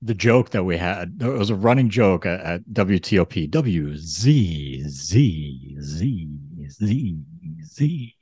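A man's voice: deep voice, lively intonation, slow, darkish tone, breathy edge, dry recording, clean studio sound. A man co-hosting a podcast in casual chat, often restarting his sentences. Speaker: podcast co-host.

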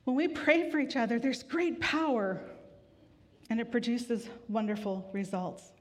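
A woman pastor giving a sermon in a relaxed, conversational way with gentle humor, weary from grief. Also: a little high, a little slow. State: grieving, tired. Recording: long hall reverb.

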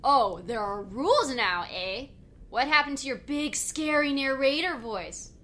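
A woman's voice: sits high, lively intonation, unhurried, fairly bright tone. A woman playing a sarcastic, foul-mouthed captive in an audio comedy drama, blunt, irreverent and defiant. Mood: defiant anger.

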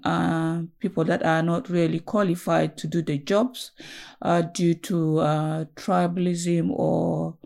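A woman from South Sudan introducing herself slowly, halting with many fillers. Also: fairly low pitch, creaky, mellow. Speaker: guest, writer. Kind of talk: casual conversation.

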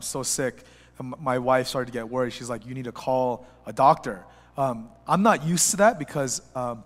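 A man in his thirties, a pastor, preaching a sermon while sick with the flu, talking in a rambling, personal way with many fillers and restarts and building to a higher, louder voice when he preaches. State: sick with a flu, voice a little rough. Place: church sanctuary. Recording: long church reverb.

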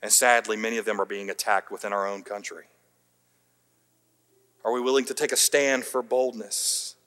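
A man's voice: on the low side, fairly even intonation, moderate pace. Earnest preaching man, long hall reverb. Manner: earnest preaching with rising emphatic peaks and quiet dropped endings; light self-deprecating humor. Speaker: pastor. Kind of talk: sermon. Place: church sanctuary.

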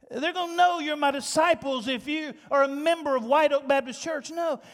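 A man preaching a sermon as pastor, swinging between loud emphasis and hushed asides. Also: extremely high pitch. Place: church sanctuary. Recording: long reverb of a church hall.

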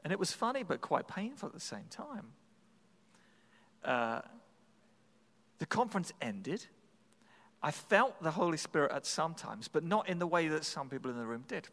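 A man's voice: fairly high pitch, sing-song, normal speed, long pauses, fairly bright tone, in a large hall. A man preaching, telling a personal story in a conversational, self-deprecating way with frequent restarts. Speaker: pastor. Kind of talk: sermon storytelling.

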